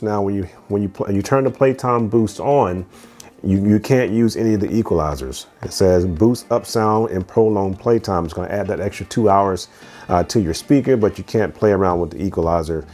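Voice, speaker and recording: Guy with a lowish voice walking thru a gadget step by step, chatty, repeats himself a bit, music behind him. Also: dark tone. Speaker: tech reviewer and host. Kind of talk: casual product review and step-by-step demo.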